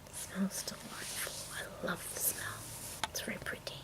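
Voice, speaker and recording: A woman hosting an ASMR video in a breathy, often whispered voice, speaking haltingly in short phrases as she shows off products. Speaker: video host. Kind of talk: ASMR, whispered and soft-spoken product show-and-tell. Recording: very noisy, with clicks and crinkling. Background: tapping, clicking and crinkling of handled objects.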